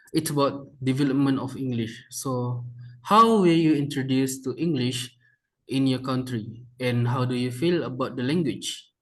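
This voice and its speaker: A man's voice: mid-range voice, poor audio. A young man interviewing a fellow student, reading out prepared questions in a casual way with filler sounds. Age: young adult. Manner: casual, adds fillers, calls the guest bro.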